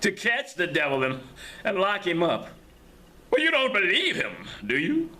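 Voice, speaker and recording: A man voicing the devil disguised as a prisoner, pleading in a high, urgent voice. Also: big pitch swings, a little slow, pushed and bright, full character voice. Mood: sly glee.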